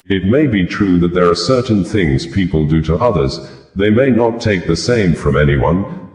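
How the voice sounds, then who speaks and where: A man's voice: sits low, darkish tone. A man reading scripted news in a formal, long-winded way. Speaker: news reader, podcast host. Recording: roomy, echoing voice recording.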